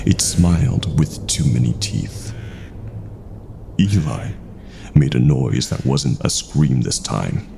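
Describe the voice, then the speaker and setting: A man narrating a scripted horror story in a dramatic, suspenseful way with drawn-out pauses. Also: a bass voice, lively intonation, gravelly, dark tone, slight background noise.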